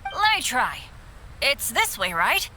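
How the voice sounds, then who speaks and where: A woman's voice: a little high, wildly swooping pitch, slow pace, pushed and bright, a little hiss or hum, heavily acted. A woman voicing an unlucky teenage boy adventurer, earnest and boyish, in an anime video game. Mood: upbeat, earnest.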